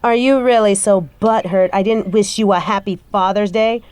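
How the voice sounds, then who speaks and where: A woman's voice: mid-range voice, fairly even intonation, normal speed, darkish tone, smooth, dry recording, lightly acted. A woman playing a bitter adult daughter confronting her stepfather in an audio roleplay, scathing, profane and confrontational. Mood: contemptuous. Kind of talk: scripted audio roleplay monologue.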